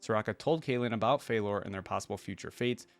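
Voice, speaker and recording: A man hosting a reaction video, reading text aloud and thinking out loud in casual asides between passages. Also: fairly low pitch, fast.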